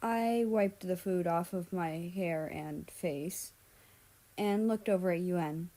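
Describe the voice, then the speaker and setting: A woman's voice: on the low side, slow, dark tone. A woman reading a piece of fan fiction aloud as scripted story narration.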